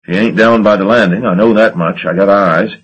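A man voicing a gruff, hot-tempered steamboat captain who blusters and curses. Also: on the low side, expressive, moderate pace. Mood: angry demanding. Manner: blustering, demanding, cursing. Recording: dull lo-fi recording.

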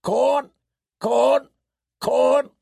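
A talking raven in a fantasy story. It squawks in high, insistent bursts, repeating itself greedily. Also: male voice, steady tone, drawn-out words, creaky, warm tone, slightly rough, exaggerated voice. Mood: greedy insistent. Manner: squawking, short repeated cries.

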